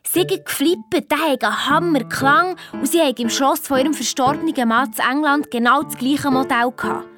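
A woman's voice: sits high, moderate pace, few pauses, over music, close to an everyday voice. A woman playing a practical, sceptical young schoolgirl detective with a Swiss German accent, talking in a matter-of-fact, colloquial way. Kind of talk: children's radio play, colloquial retelling.

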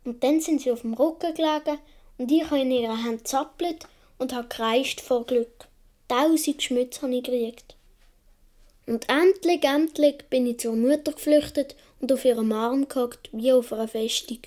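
Female actor as dreamy little Swiss German boy narrating radio drama; high, soft-toned, wistful and unhurried. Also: close to an everyday voice. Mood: wistful, fond. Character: dreamy young Swiss boy recalling his earliest childhood.

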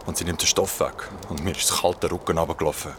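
A man's voice: low voice, fairly even intonation, quick pace, background noise, close to an everyday voice. An actor with a Swiss German accent playing a shaken, foul-mouthed young man recounting his ordeal in an audio drama. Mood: shaken, haunted. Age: young adult. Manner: colloquial, swearing, dramatic. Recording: music behind the voice.

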